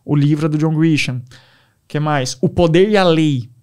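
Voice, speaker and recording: A young man with a Brazilian Portuguese accent, a podcast guest telling stories in a casual, animated way. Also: fairly high pitch, normal speed, clean tone. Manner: animated, enthusiastic storyteller. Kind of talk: casual storytelling.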